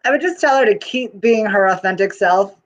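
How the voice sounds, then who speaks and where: Playful breezy guest, mid pitch, muffled call audio. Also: fairly quick. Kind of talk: casual interview.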